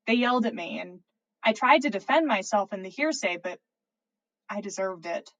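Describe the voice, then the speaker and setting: A woman's voice: medium pitch, expressive, normal speed, a bit bright. A woman reading a story and voicing an earnest, confused, lovestruck teenage witch with a pleading, questioning manner. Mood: earnest, confessional.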